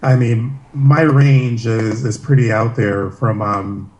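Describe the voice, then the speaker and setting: A man with a slight Jamaican accent, born in Jamaica and raised in Toronto, a poet talking as a guest in a low-key, humble way. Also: fairly even intonation, slow pace, darkish tone. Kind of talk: casual interview chat.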